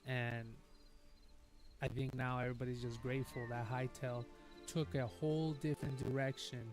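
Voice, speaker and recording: A man's voice: mid-range voice, level tone, normal speed. Chatty streamer man with a nagging cough. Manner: chatty, rambling and upbeat. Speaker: gaming streamer. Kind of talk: casual gameplay commentary. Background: game music.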